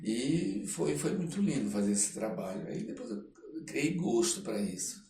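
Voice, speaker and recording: An older man, reminiscing casually as an interview guest and often pausing to search for words. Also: medium pitch, big pitch swings, creaky, mellow. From Belém, Pará, Brazil. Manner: halting, searching for words, frequent check-in tags. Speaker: podcast guest, theatre artist. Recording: roomy echo, off-mic, faint background noise.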